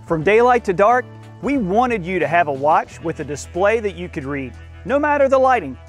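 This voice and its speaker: A man in his forties presents a product to camera outdoors, his delivery earnest and measured. His voice is notably high for a man, and faint music runs beneath him. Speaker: company spokesperson. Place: outdoors in a green field.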